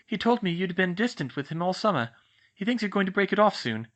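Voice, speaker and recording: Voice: medium pitch, big pitch swings, fairly quick, a bit bright, voice pushed up. A narrator voicing a clever, bossy teenage witch girl who speaks in an earnest, insistent, scolding way. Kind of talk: fan fiction audiobook reading.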